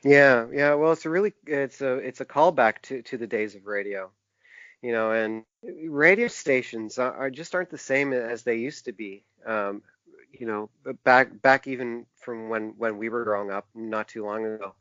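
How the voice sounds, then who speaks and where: A man with a mid-range voice speaks as a guest in a casual interview. He is thoughtful and halting, working through his explanations in detail. The sound is dry and somewhat dull, like lo-fi podcast audio. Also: mid-range voice, fairly bright tone, dry recording. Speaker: podcast guest, author and indie publisher.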